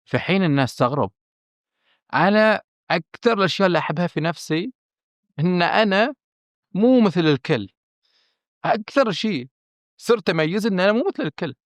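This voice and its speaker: A man with a Bahraini accent, a film director speaking candidly as a podcast guest, emphatic and earnest, often pausing on fillers and restarting. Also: sits high, lively intonation, dry recording, studio quality. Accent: Bahraini Arabic. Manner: earnest and emphatic, stressing his frankness, with hesitant fillers and restarts.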